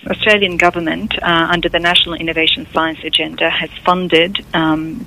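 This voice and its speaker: Gravelly low-voiced woman. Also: bright, forceful tone, low-quality recording. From Middle East. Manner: measured, deliberate, speaks in long policy explanations. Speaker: interview guest, gender equity program lead. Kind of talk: explanatory radio interview answers.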